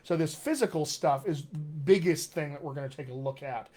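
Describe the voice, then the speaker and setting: A man's voice: a little high, normal speed, dark tone. A man with a General American accent, a dialect coach answering viewer comments in an enthusiastic, encouraging, conversational way during a live stream. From Colorado, United States.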